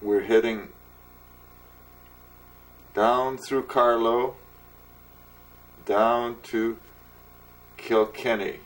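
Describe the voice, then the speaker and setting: A man's voice: medium pitch, fairly even intonation, very slow, long pauses, low-quality recording. A man narrating a travel vlog in a wry, chatty way. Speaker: travel vlogger.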